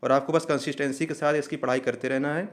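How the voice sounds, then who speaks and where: A man's voice: mid-range voice, fairly even intonation, fast talker. Man explaining updates to students. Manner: explanatory, reassuring, mixes English terms into speech. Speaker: host of an exam update channel. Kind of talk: informational explainer addressed to students.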